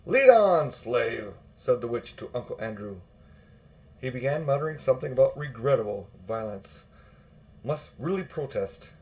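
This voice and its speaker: Older male audiobook narrator, mid-range pitch, reading children's fiction. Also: moderate pace, a little mellow.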